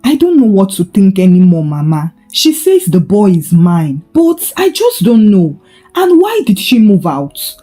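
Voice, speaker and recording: Woman acting an embittered, accusing husband, animated pitch. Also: unhurried, faint music behind. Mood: confused, bitter. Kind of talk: dramatised folktale storytelling.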